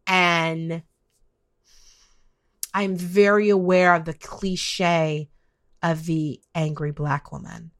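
A woman's voice: fairly low pitch, flat delivery, drawn-out words, pauses often. A woman in her late forties talking casually but emphatically as a co-host in a podcast discussion. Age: late 40s. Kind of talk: casual conversation on politics.

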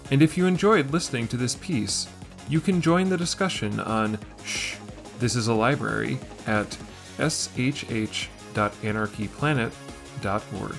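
A man's voice: mid-range voice, moderate pace, vocal fry. A man presenting a podcast reading segment, reading a scripted introduction and essay aloud. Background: guitar music.

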